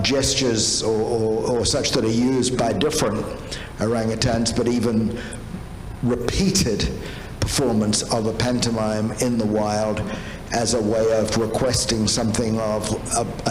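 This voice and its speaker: A man asking long, formally phrased academic questions in a measured, deliberate way. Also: lowish voice, fairly even intonation. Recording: echoey hall with noise.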